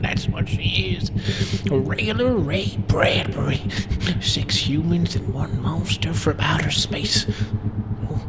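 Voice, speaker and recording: A man's voice: on the high side, heavy background noise. A man playing a mocking, cackling old grandfather in an amateur radio play. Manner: cackling, teasing, drawling. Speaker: student radio play actor.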